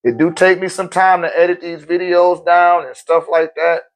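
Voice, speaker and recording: A man hosting a spiritual live stream, talking loosely in slang and answering viewer questions in a relaxed, conversational way. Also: high voice, normal speed.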